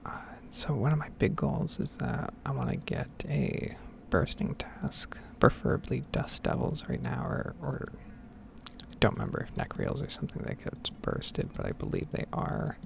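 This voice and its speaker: A man giving hesitant, rambling game commentary in a soft-spoken, whispered ASMR style. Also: medium pitch, huge pitch swings, normal speed, creaky, a little hiss or hum. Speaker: gaming video host.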